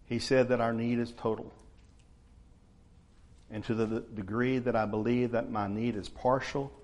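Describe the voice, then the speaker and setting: A man, preaching a sermon to his church in a relaxed, conversational way. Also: mid-range voice. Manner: conversational, unhurried, frequent uh fillers. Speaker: pastor.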